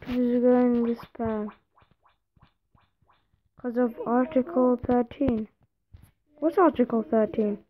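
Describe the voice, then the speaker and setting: Distracted young woman livestreaming, muttering slowly. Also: fairly high pitch, steady tone. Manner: distracted, muttering to herself while reading chat. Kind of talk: casual live stream chat. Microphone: phone or device mic. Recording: dull lo-fi phone stream with faint noise.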